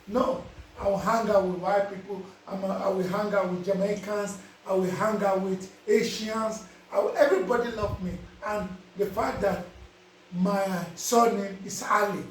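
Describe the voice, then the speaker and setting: A man's voice: high-pitched, recorded from a distance, slight background noise. A man with a Nigerian accent, giving casual life advice to his viewers and often asking if they understand. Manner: preachy, repeats "you understand?". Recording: very echoey, voice away from the mic.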